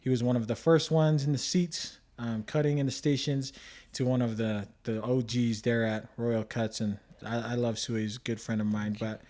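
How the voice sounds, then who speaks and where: A man's voice: medium pitch, steady tone, moderate pace, hardly any pauses, warm tone. A man hosting a podcast, introducing his guest in a friendly, loose way with lots of fillers. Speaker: podcast host.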